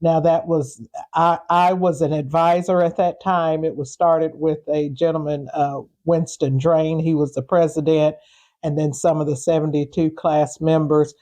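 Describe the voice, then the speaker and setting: An older woman from western Kentucky answering interview questions, halting and repeating words as she searches. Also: sits low, flat delivery, mellow. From western Kentucky, United States. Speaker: interview guest, community preservation advocate.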